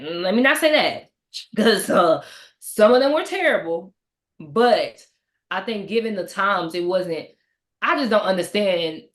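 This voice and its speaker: Animated Texan woman, emphatic. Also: mid-range voice. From Texas. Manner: animated, emphatic, rhetorical questions. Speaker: book discussion guest. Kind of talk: casual book discussion.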